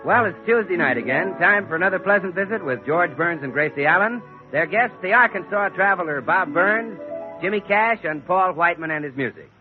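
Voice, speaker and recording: A man's voice: high-pitched, expressive, brisk, faint music behind. An American man announcing on a 1940s radio show, with an eager salesman's patter. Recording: tinny, narrow-band vintage radio recording.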